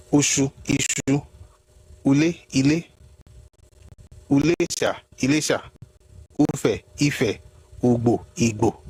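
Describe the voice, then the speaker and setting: A man's voice: mid-range voice, a little mellow, slightly rough. A man giving history commentary, explaining word by word with a mocking edge.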